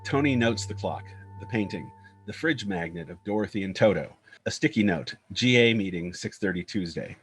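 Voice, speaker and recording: A man's voice: lowish voice, expressive, moderate pace, breathy edge, faint music behind, low-quality recording. A man reading screenplay stage directions as narrator, in clipped, descriptive scripted phrases.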